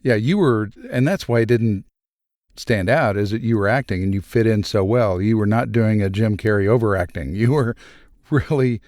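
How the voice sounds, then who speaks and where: A man with a fairly low, smooth voice speaks casually on a cast panel. The recording is close and dry. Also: normal speed, a little mellow, no room sound. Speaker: cast member. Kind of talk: casual panel chat giving feedback.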